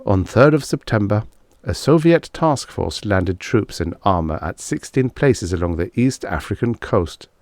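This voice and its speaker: Low-voiced man narrating, very dry sound. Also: expressive, darkish tone, no echo. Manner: measured, formal storytelling. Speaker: audiobook narrator. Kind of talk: scripted narration of vintage science fiction stories.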